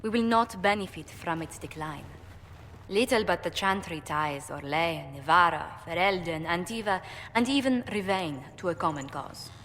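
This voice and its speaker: An actress playing a courteous young female ambassador in a video game, speaking in a formal, measured, diplomatic way. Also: on the low side, fairly bright tone, lightly acted. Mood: poised, explaining. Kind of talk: video game dialogue acting. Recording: noisy, long hall reverb.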